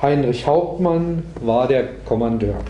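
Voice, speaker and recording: A man's voice: medium pitch, animated, a little slow, dark tone, muffled recording. A man reading prose aloud at a literary reading, recorded in a roomy space. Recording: roomy echo, faint background noise.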